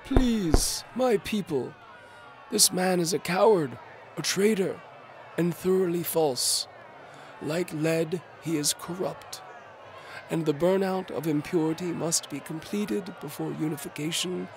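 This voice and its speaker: A man voicing a pompous old medieval duke who declaims slowly in an audio drama. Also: a little high, a little hiss or hum, pitched above the performer's own voice. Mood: indignant.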